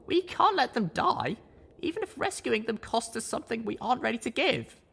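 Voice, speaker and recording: A man voicing a small, earnest young female unicorn pony in an audiobook reading, speaking slowly and carefully. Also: extremely high pitch, big pitch swings, creaky, bright, forceful tone, slight background noise, pitched above the performer's own voice, exaggerated voice. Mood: urging. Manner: slow, careful delivery. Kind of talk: audiobook character voice.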